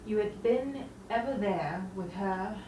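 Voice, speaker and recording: An actress playing a solemn, burdened sister bearing tragic news, with a grave, measured theatrical delivery. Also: female voice, medium pitch, close to an everyday voice. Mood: grave, sorrowful. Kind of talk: 1950s-style romantic drama acting. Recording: roomy, distant, clearly noisy stage sound.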